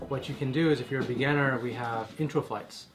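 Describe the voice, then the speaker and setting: A man's voice: mid-range voice, steady tone, fast, over music, low-quality recording. A man with an American accent speaking casually as a bar co-founder, explaining things in an interview. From United States.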